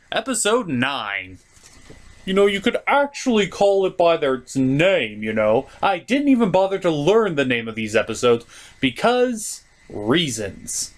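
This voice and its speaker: Sarcastic male reviewer with very lively delivery. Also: high voice. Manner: mocking, theatrical. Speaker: host of a puppet review show. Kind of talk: sarcastic scripted comedy review commentary.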